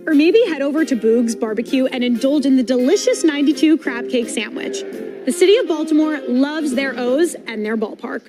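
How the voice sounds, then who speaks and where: A woman's voice: high-pitched, normal speed. A woman reading an upbeat, scripted promotional travel guide. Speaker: narrator of ballpark guide. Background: music.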